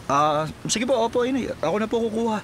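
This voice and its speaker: A man playing an animated, charming Filipino police captain. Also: high-pitched, fast talker, a little hiss or hum. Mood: polite, relaxed. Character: charming, easygoing married policeman captain, playful but principled. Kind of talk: Filipino radio-play style drama acting.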